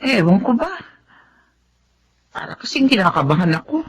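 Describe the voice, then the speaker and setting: A woman acting a worried, doting grandmother in a fretful, expressive Filipino melodrama style. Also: mid-range voice, normal speed, husky. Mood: hushed worry.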